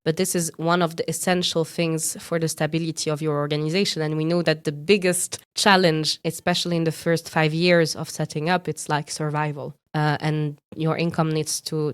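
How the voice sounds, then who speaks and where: A woman's voice: deep voice, clean tone. A young adult woman from France answering interview questions thoughtfully, with frequent fillers and restarts. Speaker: guest from a young farmers' organization.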